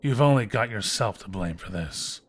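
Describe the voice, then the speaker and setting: Low, smug fantasy guildmaster Brackus acted by a man. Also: expressive, moderate pace. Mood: taunting. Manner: theatrical, taunting, mock-courteous. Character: smug, scheming male fantasy adventurers' guildmaster. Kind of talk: fantasy roleplay audio drama.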